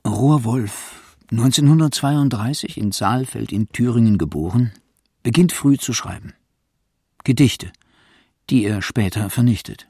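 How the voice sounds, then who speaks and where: A man playing the deliberate, orderly narrator of a literary radio feature about a poet's life. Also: mid-range voice, a little mellow, breathy edge, dry recording, clean studio sound. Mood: measured.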